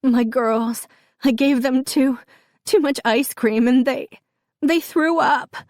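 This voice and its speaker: An overwhelmed mother voiced by a female narrator speaks high and tearfully. She sounds flustered and apologetic, on the verge of sobs, in a dry audiobook recording. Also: slow, darkish tone, no echo. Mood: sobbing, flustered. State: on the verge of sobbing.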